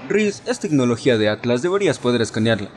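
A man's voice: mid-range voice, sing-song, fast talker, slight background noise, natural voice. A man with a Latin American accent playing a nerdy, anxious, loyal sidekick in a fan dub of an adventure game. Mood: concerned, wry.

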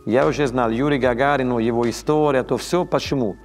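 A man in his fifties with an Italian accent, speaking Russian as a second language in a studio interview, halting and often restarting words. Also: mid-range voice, fairly even intonation, faint music behind. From Italy. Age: 50s. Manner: halting, frequent restarts and stammers, searching for words. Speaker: chef, interview guest. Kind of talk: casual interview conversation. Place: dark indoor studio set, seated in armchair.

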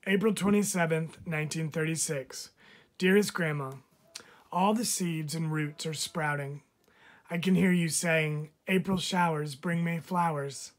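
A man, a teacher, reads a picture book of letters aloud with expressive, measured phrasing. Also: on the high side. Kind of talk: storybook read-aloud of letters.

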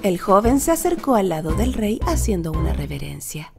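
A woman narrating a children's fairy tale in an expressive, dramatic storytelling manner. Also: mid-range voice, normal speed, creaky, music in the background.

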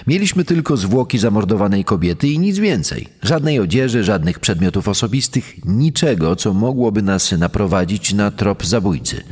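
An actor playing a troubled police officer giving dramatized testimony about a case. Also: male voice, lowish voice, animated, moderate pace, few pauses, darkish tone, breathy edge. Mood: helpless. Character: troubled male police officer recalling a murder scene. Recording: long hall-like reverb.

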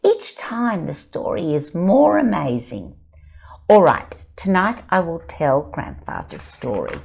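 An older woman reads her picture book aloud from an armchair, telling the story with dramatic, expressive flair. Her voice is a little low, husky and gravelly, with a breathy edge. She swoops widely in pitch and takes her time. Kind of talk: storybook reading with commentary. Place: indoor studio set, seated in armchair.

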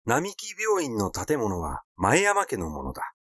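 A man narrates literary fiction in a measured storytelling manner. His mid-pitched voice moves in a lively way across its range, and the recording is dry, with hardly any room sound. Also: no room sound. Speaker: audiobook reader. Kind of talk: scripted literary narration, reading a story aloud.

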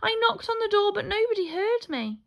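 Woman as a high, apologetic little mole. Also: animated, moderate pace, a bit bright, exaggerated voice. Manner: apologetic, polite. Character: small, apologetic, polite storybook mole.

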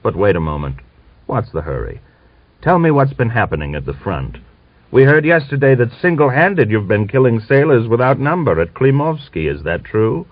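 Genial, blustering Cossack officer played by a male narrator, cajoling and pitched above his narration, lo-fi audiobook sound. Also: very animated, normal speed, no room sound. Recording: dull lo-fi audiobook recording.

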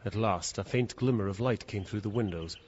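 A man's voice: on the low side, a little fast, breathy edge. Frightened man telling his ordeal, muffled recording. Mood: hushed dread. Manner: suspenseful, theatrical, swinging from hushed to frantic. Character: terrified, trapped man recounting his ordeal with a beast. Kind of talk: dramatic first-person audio drama narration. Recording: dull, lo-fi audio with loud background noise.

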